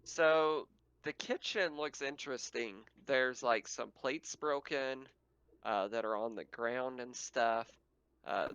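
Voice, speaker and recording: A man narrating a tabletop roleplaying game as game master, describing scenes in a casual, halting way. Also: medium pitch, gravelly, a bit bright, clear, resonant tone, no echo, lo-fi sound.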